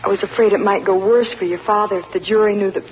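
An actress playing an earnest, remorseful young country woman who speaks softly and stammers. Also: medium pitch, steady tone, fast talker, close to an everyday voice. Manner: hesitant, stammering, soft-spoken. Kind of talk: 1940s radio acting. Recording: dull 1940s radio broadcast, faint hiss.

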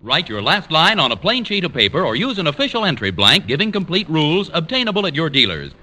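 Old timey american radio ad guy, super bright and punchy, very animated. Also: on the high side, fast talker. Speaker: commercial announcer. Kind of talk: 1940s-50s radio ad announcing.

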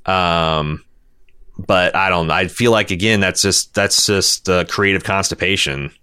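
A male podcast host with a fairly low, bright voice. He talks casually and opinionatedly, with a sardonic streak and a crisp, resonant tone. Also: a little slow, no room sound, studio quality.

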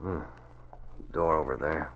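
Masked crimefighter in old radio serial, low voice, measured and sure of himself, dull vintage sound. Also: male voice, fairly even intonation, vocal fry, slight background noise, full character voice. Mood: musing. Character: resolute, calculating masked crimefighter, a grown man. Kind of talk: 1940s radio drama acting.

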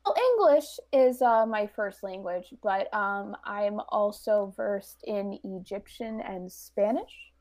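A woman's voice: mid-range voice, takes it slowly, few pauses, darkish tone, no echo. A woman playing her character in a tabletop game, polite and firm while she stays evasive. Her voice sits in the middle range, and the close mic sounds dry. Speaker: player.

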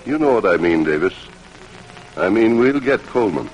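An actor playing a persuasive army captain pleading earnestly with a prisoner, in old-time radio drama style. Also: male voice, lowish voice, lively intonation, moderate pace, lo-fi sound. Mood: persuading, pleading. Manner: urgent, imploring, earnest. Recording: noisy vintage radio drama recording.

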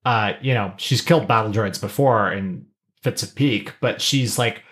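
A man's voice: lowish voice, moderate pace, a bit bright. A man co-hosting a podcast, talking through his analysis casually in a thoughtful, explanatory manner. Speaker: podcast co-host.

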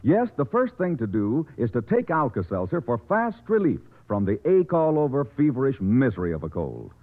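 A man's voice: medium pitch, very animated, normal speed. An American man announcing a scripted radio commercial in a 1940s advertising style. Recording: narrow-band old radio broadcast.

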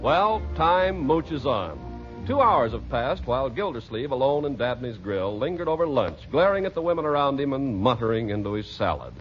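A man's voice: mid-range voice, big pitch swings, moderate pace, soft background music. A man announcing and narrating in polished, emphatic 1940s radio style, reading scripted sponsor copy and story links. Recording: muffled, noisy 1940s radio recording.

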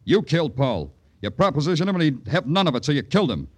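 An actor playing a terse, grim New York police detective in an old radio crime drama, with a clipped, world-weary delivery. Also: male voice, on the high side, sing-song, fast, slightly rough, no echo. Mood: hard, vengeful. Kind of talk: 1940s-50s radio crime drama acting. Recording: old radio broadcast, music underneath.

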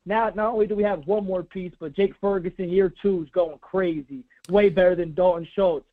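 A man calling in to a sports show, excited and rambling, with lots of fillers. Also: high voice, fairly quick. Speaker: caller. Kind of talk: casual sports chat. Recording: tinny phone line.

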